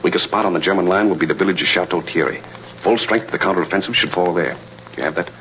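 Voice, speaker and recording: An actor playing a grave, devoted spy who poses as a military colonel, speaking in measured 1930s radio melodrama style. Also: male voice, deep voice, fairly bright tone. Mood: grave, tender. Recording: muffled, noisy old radio broadcast.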